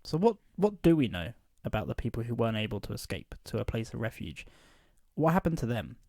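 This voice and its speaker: A man's voice: mid-range voice, sing-song, brisk, a little mellow, no room sound, studio quality. A man playing an inquisitive history podcast host from a post-apocalyptic future, in a scripted interview style. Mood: curious.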